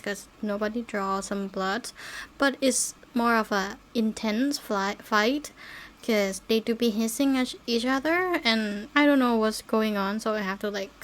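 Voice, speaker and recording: Slow, high-pitched woman chatting casually. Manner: non-native English phrasing. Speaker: podcast host. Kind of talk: casual rambling chat, with baby talk to pets.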